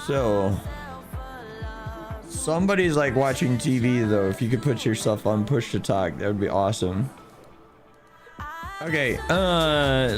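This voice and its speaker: A hoarse man who has nearly lost his voice, chatting casually with viewers and a teammate while livestreaming a game. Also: medium pitch. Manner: chatty, greets viewers by name. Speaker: streamer playing a driving sim. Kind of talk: casual live-stream chat.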